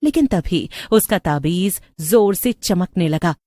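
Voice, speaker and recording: Casting: female story narrator, slightly low voice, close dry sound, scripted storytelling. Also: lively intonation, takes it slowly, warm tone, no room sound.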